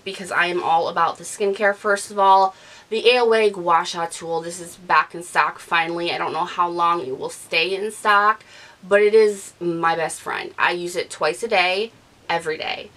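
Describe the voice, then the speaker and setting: A woman in her thirties chats her way through her monthly beauty favorites, gushing and animated as she goes. Her voice sits a little low for a woman. She is recorded indoors on a close studio mic. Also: measured pace, poor audio. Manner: chatty, gushing, animated with hand gestures. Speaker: beauty vlogger. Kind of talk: casual product review talk. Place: living room, gray couch, indoors. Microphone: studio mic off camera.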